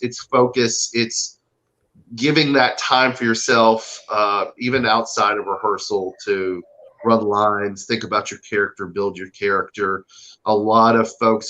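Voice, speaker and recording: A man speaking casually in an interview as a stage actor, earnest and halting, often repeating his phrases. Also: lowish voice, bright, forceful tone, poor audio.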